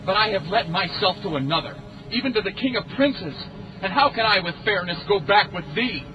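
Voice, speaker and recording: A man's voice: sits high, expressive, a little fast, highs cut off, noisy background. A man playing an earnest, steadfast pilgrim in an audio drama, declaiming archaic lines. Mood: earnest, firm. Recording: distant mic under loud music. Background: loud music.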